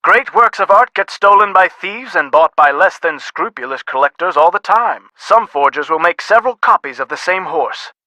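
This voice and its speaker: Pompous antiques expert, bright voice. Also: male voice, sits high, expressive, normal speed, few pauses, no room sound, heavily acted, low-quality recording. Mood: knowing. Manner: pompous, courtly, theatrical. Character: genteel, self-satisfied older male antique carousel horse expert. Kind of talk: video game voice acting.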